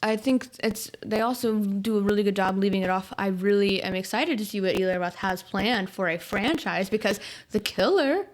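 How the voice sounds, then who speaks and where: A woman's voice: medium pitch, moderate pace, hardly any pauses. A woman co-hosting a movie review podcast, chatting in an enthusiastic, gushing way. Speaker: podcast co-host. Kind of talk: casual chat.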